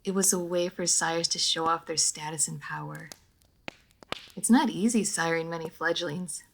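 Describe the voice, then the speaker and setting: A woman playing a refined, reserved vampire noblewoman in a roleplay audio drama, speaking formally with measured diction, hesitant pauses and dry playfulness. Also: on the low side, creaky, a little hiss or hum. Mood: wistful, reverent. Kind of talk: roleplay audio drama, intimate monologue to listener.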